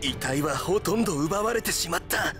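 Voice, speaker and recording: A man voicing a serious, composed young hero in an anime video game, speaking gravely and deliberately. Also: high-pitched, lively intonation, music in the background. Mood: grave, puzzled.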